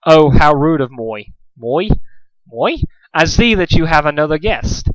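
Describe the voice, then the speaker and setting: A man's voice: fairly high pitch, very animated, a little slow, no echo, poor audio. Man putting on a courteous French-accented waiter. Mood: apologetic. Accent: French, put on. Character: polite French waiter, formal and obliging.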